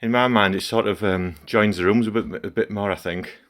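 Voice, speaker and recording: A middle-aged man with a croaky voice from a cold, chatting casually in a home vlog as he works and comments on it. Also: lowish voice, steady tone, brisk, fairly bright tone. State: hoarse from a cold. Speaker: gaming channel host.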